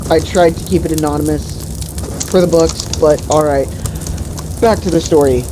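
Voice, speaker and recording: A woman telling an improvised comic fantasy story among friends in a deliberate, profane, mock-epic manner. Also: deep voice, fairly even intonation, background noise. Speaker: storyteller and host.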